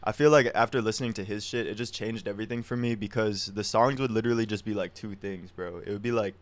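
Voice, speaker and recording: Laid-back young man, deep voice. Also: fairly even intonation, fast. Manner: laid-back slangy, lots of "like". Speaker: musician guest. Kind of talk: casual interview chat.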